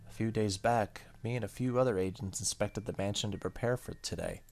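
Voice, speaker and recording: A man hosting a gaming video, reading the story aloud and dropping in casual reactions. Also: on the low side.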